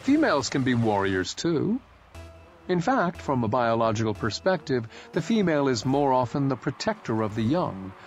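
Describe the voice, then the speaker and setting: A man's voice: mid-range voice, sing-song, normal speed, soft background music. A man reading aloud voicing a young woman soldier who argues her point insistently. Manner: insistent, argumentative.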